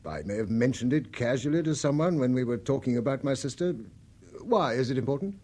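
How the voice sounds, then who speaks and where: A mid-pitched, very inflected voice of an actor as a British gentleman in a radio play. The man speaks Received Pronunciation and is polite yet guarded. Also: lively intonation, fast, lightly acted. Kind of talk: radio drama acting.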